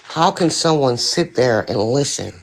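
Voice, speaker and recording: A woman with a deep, raspy voice, talking bluntly as a panelist in a casual live-stream discussion. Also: lively intonation, measured pace, poor audio. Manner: blunt, talks in long stories.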